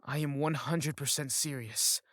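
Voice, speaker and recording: An earnest male agent and mentor in a story, voiced by a man, speaking in firm motivational lines. The voice is a bit high and very dry. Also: a little slow, a bit bright, dry recording. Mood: firm, motivating.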